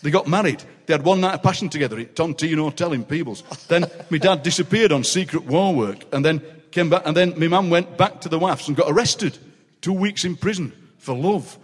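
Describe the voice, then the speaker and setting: An older man with a Yorkshire accent, a guest poet telling anecdotes on a stage with quick comic impressions and frequent laughter. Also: on the high side. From South Yorkshire, England. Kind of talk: live stage chat, storytelling. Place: large city hall on stage. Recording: long reverb of a large hall.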